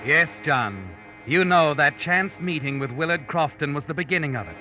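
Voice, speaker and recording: An actor playing the Whistler's role of an ominous, all-knowing narrator in a 1940s radio suspense show, theatrical and foreboding. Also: male voice, fairly high pitch, animated, normal speed, natural voice. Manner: theatrical, ominous, addresses the character as you. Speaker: narrator and host of mystery radio drama. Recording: dull old radio recording with music.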